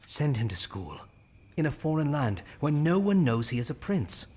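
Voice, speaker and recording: An actor playing a loyal knight and royal counsellor in an audio drama, speaking formally and persuasively. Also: male voice, on the high side, sing-song, moderate pace, darkish tone, husky. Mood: earnest. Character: loyal, earnest, firm knight counselling his king.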